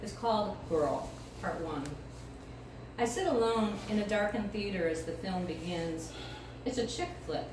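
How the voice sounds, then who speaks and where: A middle-aged American woman reads poetry at a live event, with casual introductions between pieces. Her voice sits a little low and her phrasing is deliberate and expressive. She is recorded from a distance in a very echoey room with clear background noise. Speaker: featured poet reading at a poetry event. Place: indoor live event space, mic far from speaker.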